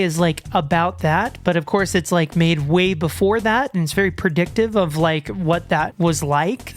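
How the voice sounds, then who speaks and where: A man hosting a horror video, talking excitedly with stammered restarts and sudden outbursts as he loses his voice. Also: high voice. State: losing his voice. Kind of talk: enthusiastic solo video commentary.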